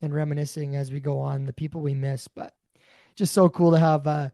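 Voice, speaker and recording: A man with a raspy voice, co-hosting a podcast, rambling through casual chat. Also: fairly high pitch, flat delivery, a little fast, no echo, studio quality. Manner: rambling, warm storytelling. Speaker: podcast co-host.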